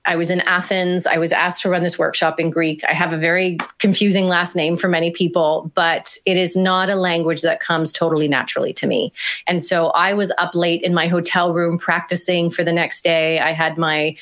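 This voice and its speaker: A woman author being interviewed, talking in an animated, emphatic way with small fillers. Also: fairly low pitch, moderate pace. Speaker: author and guest. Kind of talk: conversational interview answers.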